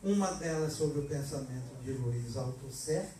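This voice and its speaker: An older man with a Brazilian Portuguese accent giving a slow, deliberate political speech at an award ceremony, holding a handheld mic. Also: mid-range voice, mellow, a little hiss or hum. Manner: slow, deliberate, emphatic oratory. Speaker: award speaker. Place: hall at an event, indoors. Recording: echoey hall, filmed from a distance.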